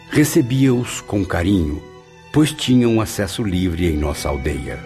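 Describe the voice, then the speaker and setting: Deep, animated, solemn narrator spirit. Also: male voice, full character voice. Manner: solemn, theatrical, measured. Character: repentant Portuguese aristocrat spirit narrating his memories. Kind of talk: dramatic radio drama narration.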